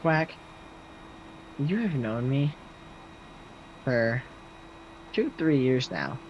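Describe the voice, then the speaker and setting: A young man in his late teens with a loud, projecting voice, rambling casually to his viewers while he games and answers their messages. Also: medium pitch, very animated, extremely slow, slight background noise. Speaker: streamer. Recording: slightly muffled, faint game music behind.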